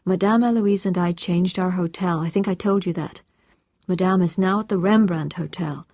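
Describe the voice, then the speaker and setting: A woman voicing a composed, courteous man in an audiobook, with a calm, measured and reassuring manner. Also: fairly low pitch, no echo, clean studio sound.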